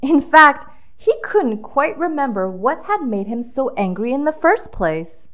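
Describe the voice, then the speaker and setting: A woman's voice: high voice, big pitch swings, measured pace, a bit bright. A woman reading a children's storybook aloud with dramatic emphasis, drawing out key words for young listeners.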